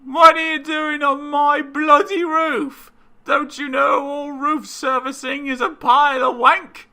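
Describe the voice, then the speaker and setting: A man's voice: very high-pitched, level tone, slow, pushed and bright, pitched above the performer's own voice, full character voice. A man voicing a grumpy, sarcastic male cartoon character who grumbles dryly and is easily exasperated.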